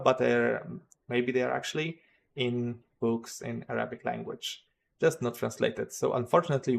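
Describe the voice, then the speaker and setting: A man's voice: medium pitch, gravelly, slight background noise. A man with a Polish accent, a native Polish speaker, hosting a casual livestream and rambling hesitantly with many fillers and restarts. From Poland. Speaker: modding livestream host.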